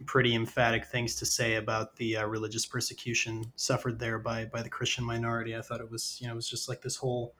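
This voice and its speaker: A man hosting a podcast in a casual, conversational way, halting and hedging as he thinks aloud. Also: mid-range voice, monotone, pushed and bright, slight background noise. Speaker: podcast host.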